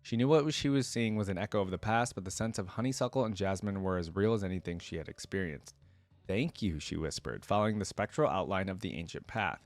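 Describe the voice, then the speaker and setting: A man's voice: low voice, a little fast, dry recording. A man hosting a reaction video, reading a fantasy story aloud and adding casual fan commentary.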